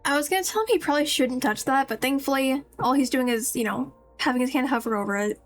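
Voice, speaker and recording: High-voiced woman streamer, chatty. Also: quick pace. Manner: chatty, musing. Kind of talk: casual game commentary. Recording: game music behind the voice. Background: game music.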